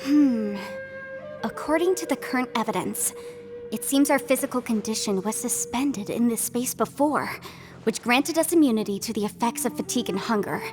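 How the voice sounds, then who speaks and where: Woman as higher-voiced, composed young investigator. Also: lively intonation, music in the background, natural voice. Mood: composed, concerned. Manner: measured, articulate, thoughtful. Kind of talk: video game voice acting.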